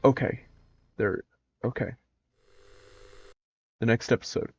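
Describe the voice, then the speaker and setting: A man's voice: medium pitch, slow, gravelly, highs cut off, faint music behind. A man giving casual reaction commentary in a musing, wry manner. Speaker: reaction video host.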